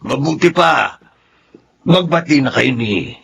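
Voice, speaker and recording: An actor playing an older husband with a gravelly, husky voice who teases and placates his wife. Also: medium pitch, expressive, takes it slowly, fairly bright tone, heavily acted. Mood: placating.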